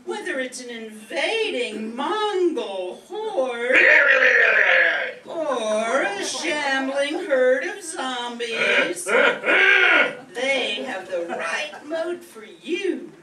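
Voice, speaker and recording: Woman as salesy neighbor, radio comedy spot, slow emphatic swooping delivery, echoey stage. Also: big pitch swings, a crowd in the background, exaggerated voice. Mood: enthusiastic, selling. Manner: emphatic, drawn-out pitchwoman delivery. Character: eager salesy female next-door neighbor. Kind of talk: comic radio-commercial acting. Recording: echoey stage, distant mic.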